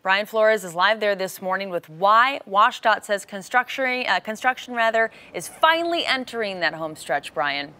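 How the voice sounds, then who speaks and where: A woman's voice: mid-range voice, expressive, normal speed, few pauses, pushed and bright, no echo. An American woman anchoring a morning TV newscast, reading scripted news.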